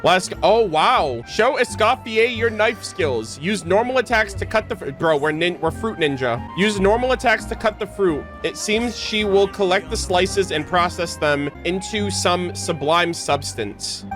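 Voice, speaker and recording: A game streamer chatting casually while playing. The delivery is joking and slangy, with very animated pitch at a medium register. Soft game music sits behind the voice. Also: unhurried, few pauses. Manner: playful, slangy, swearing. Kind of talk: casual livestream commentary with joking. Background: video game music.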